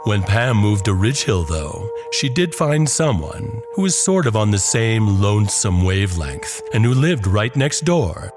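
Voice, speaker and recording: Low-voiced man narrating horror. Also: big pitch swings, over music. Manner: dramatic pauses and drawn-out phrases. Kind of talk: scripted horror story narration.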